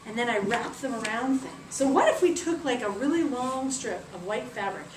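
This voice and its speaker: A woman's voice: a little high, expressive, normal speed, lightly acted. Eager young jackal-headed god, voiced by a woman storyteller, chatty; noisy roomy recording. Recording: roomy, distant mic, background noise.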